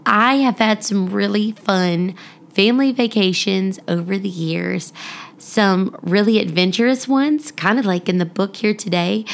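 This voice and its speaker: A woman hosting a children's story podcast, speaking expressively and deliberately as if reading aloud to young listeners. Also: medium pitch, creaky. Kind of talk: children's storybook narration and friendly address to listeners.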